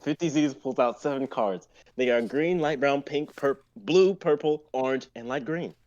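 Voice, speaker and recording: A man's voice: medium pitch, normal speed, darkish tone, muffled recording. A young man narrating stage directions in a live amateur script reading. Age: young adult.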